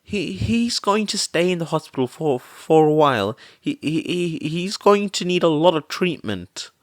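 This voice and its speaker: A man's voice: fairly high pitch, animated, moderate pace, no echo, clean studio sound, voice pushed up, close to an everyday voice. A man voicing an anxious, tearful, apologetic mother in an audio story, stammering and shaky.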